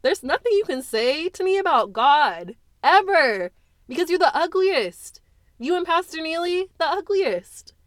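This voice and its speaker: A woman voicing a hurt teenage girl who shouts bitterly at her mother through tears. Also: fairly high pitch, lively intonation, moderate pace, dry recording, clean studio sound, voice pushed up. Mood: furious.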